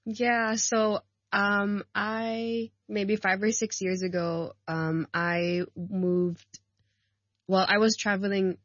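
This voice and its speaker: A woman in her thirties, a rugby player and coach, chatting as a guest in a radio studio, warm and laughing often, with many ums and likes. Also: mid-range voice, flat delivery, slow pace, no echo, muffled recording. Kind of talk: casual radio interview. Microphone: studio mic.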